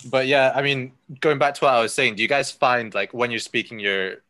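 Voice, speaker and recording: A man's voice: mid-range voice, fairly quick, bright, forceful tone. A young man whose first language is Malay, speaking thoughtfully in a casual chat over a video call and often searching for his words.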